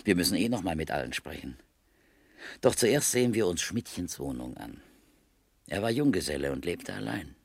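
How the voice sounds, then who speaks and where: Measured, low-voiced senior detective. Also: male voice, sing-song, creaky, heavily acted. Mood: measured, probing. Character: senior police detective, measured, sceptical, mentoring older colleague. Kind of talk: 1970s German radio crime drama acting.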